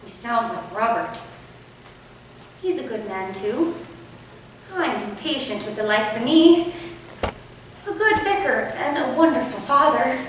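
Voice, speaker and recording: A woman's voice: fairly high pitch, animated, unhurried, long pauses. A woman playing a candid, modest Victorian vicar's wife in a staged play reading, wistful, hesitant and confiding. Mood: fond. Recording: echoey hall from far off, faint noise.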